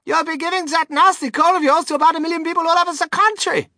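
A reader voicing a shrill, bossy, panicky mother in a children's storybook. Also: fairly even intonation, fast talker, sharp tone, no echo, studio quality, full character voice. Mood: scolding.

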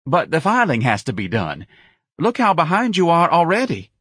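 A man's voice: on the high side, huge pitch swings, moderate pace, fairly bright tone, husky, dry recording, studio quality, pitched above the performer's own voice, exaggerated voice. A man voicing a nervous, eager office manager as a thin, anxious tenor in an audiobook. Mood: placating. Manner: anxious, placating.

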